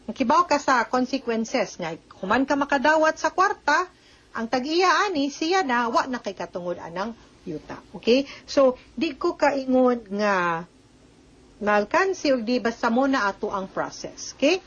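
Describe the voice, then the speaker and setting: High-voiced Filipino woman advising listeners, dull audio. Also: expressive, unhurried, a bit bright. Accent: Filipino, Cebuano-speaking. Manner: frequent tag questions seeking agreement; mixes in English phrases and legal terms. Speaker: host giving legal advice on a radio drama letter program. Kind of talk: conversational advice to listeners, explaining.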